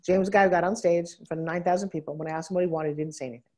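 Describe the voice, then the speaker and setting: A woman business coach on stage at a live seminar, teasing and bossing a guest with quick interruptions. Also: fairly low pitch, fairly even intonation, a little mellow. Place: event stage with audience.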